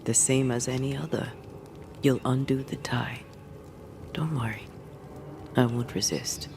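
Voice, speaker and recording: An actress playing the resentful ghost of a woman ghost hunter in a video game, speaking in a measured, grave, direct way. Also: very deep voice, over music. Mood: calm, resigned. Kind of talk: dramatic video game acting.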